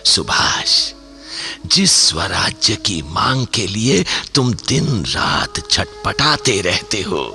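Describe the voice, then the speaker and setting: An older man acting an ailing senior political leader in a Hindi radio play, reasoning in a deliberate, emphatic, fatherly way. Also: lowish voice, big pitch swings, sharp tone, soft background music, full character voice. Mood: challenging, earnest.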